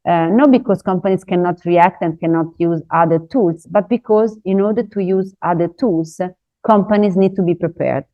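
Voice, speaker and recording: A woman giving a business webinar presentation in non-native English, pausing often with "uh" fillers. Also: lowish voice. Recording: dull lo-fi webinar audio.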